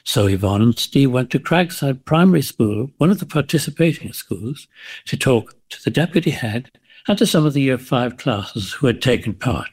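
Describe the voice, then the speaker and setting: A man presents a radio show with a slightly husky voice. His links are relaxed and informal. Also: mid-range voice, normal speed.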